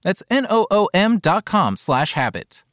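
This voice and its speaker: A man's voice: high voice, sing-song, a little slow, a bit bright, no room sound, clean studio sound. A man reading a scripted advertising voice-over.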